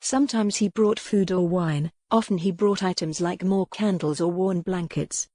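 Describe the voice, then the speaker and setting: A woman's voice: on the low side, fairly even intonation, moderate pace, mellow, no echo, studio quality. A woman reading a historical romance novel as a scripted, dramatic audiobook narration.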